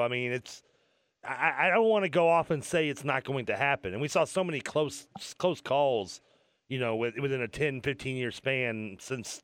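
A man's voice: medium pitch, a bit bright, dry recording. A man co-hosting a radio sports talk show, joking and rambling with frequent stumbles and restarts.

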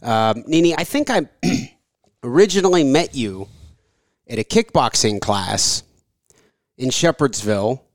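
A man hosting a podcast interview, talking in a relaxed, conversational way with some filler words. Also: medium pitch, a little slow, long pauses, no echo, clean studio sound. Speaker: podcast host. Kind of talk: casual interview and read sponsor ads.

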